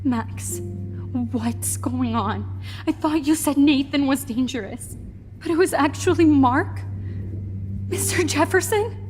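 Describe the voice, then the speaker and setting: An actress playing a frightened, remorseful eighteen-year-old captive student in a video game, pleading and faltering. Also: female voice, high-pitched, takes it slowly, darkish tone, long reverb tail, faint music behind. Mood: panicked pleading. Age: young adult. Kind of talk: video game cutscene acting.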